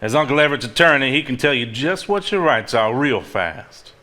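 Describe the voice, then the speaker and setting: A protective, weary husband acted by a man in a live stage play. His medium-pitched voice has a bright, forceful edge, and he speaks earnestly with deliberate emphasis. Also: animated. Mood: stern, sarcastic. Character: protective, weary husband, firm when provoked. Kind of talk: live stage drama acting.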